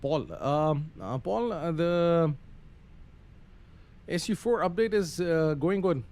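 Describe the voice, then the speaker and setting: A man's voice: fairly high pitch, lively intonation, takes it slowly, darkish tone. A man giving casual live-stream commentary on a flight simulator, muttering through procedures hesitantly with some radio phraseology. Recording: faint background noise.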